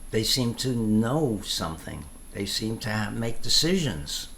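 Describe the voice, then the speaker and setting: A man thinking aloud in an informal explanatory monologue, halting and reflective, often pausing mid-phrase. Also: on the low side, very animated, creaky, a bit bright, a little hiss or hum. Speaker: tai chi teacher.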